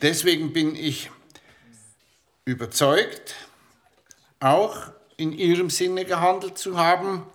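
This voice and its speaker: An actor playing a retiring insurance boss in his sixties, giving a formal, halting farewell speech in a radio play. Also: a little high, steady tone, a bit bright. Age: 60s.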